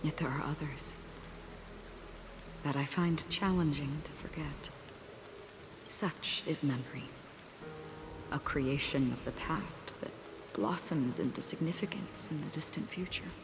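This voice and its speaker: An actress voicing a solemn, melancholy swordswoman in a video game, speaking in a grave, measured way. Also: low voice, noisy background. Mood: wistful, hushed. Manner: slow, measured, grave delivery. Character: solemn, melancholy woman swordswoman recalling her past. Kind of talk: scripted video game acting.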